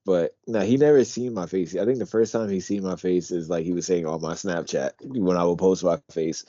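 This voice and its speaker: Deep-voiced, quiet-talking young man. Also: brisk, no echo, lo-fi sound. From Pennsylvania. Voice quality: deep, quiet, talks through his teeth. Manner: relaxed, rambling, swears casually. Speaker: podcast co-host. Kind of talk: casual chat.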